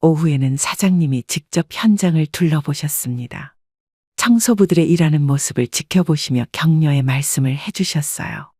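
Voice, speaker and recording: A woman reading a scripted first-person story as an audiobook narrator, in a measured, polite storytelling manner. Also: low voice, animated, mellow, husky, no room sound, studio quality.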